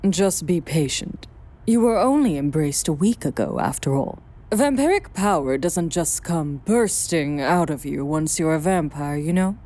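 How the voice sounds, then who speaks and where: A woman's voice: low-pitched, animated, unhurried, dark tone. A woman playing a worldly, guarded vampire sire in an audio drama, explaining things in dramatic phrasing. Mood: reassuring, explanatory. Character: self-exiled female vampire sire, worldly, guarded, brooding.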